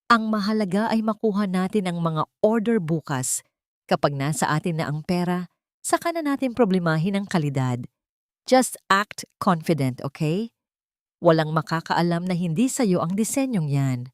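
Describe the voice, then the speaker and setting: Woman narrator doing a vain bossy mistress, snappy and dismissive, lowish voice, audio drama. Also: animated, normal speed, dry recording, clean studio sound, natural voice. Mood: haughty, dismissive. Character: vain, dismissive, scheming mistress in a fancy gown.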